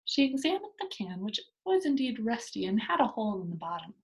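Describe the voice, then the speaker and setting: A woman reading a children's storybook aloud as an expressive narrator. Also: fairly high pitch, a little fast, breathy edge, rough recording. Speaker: storybook reader.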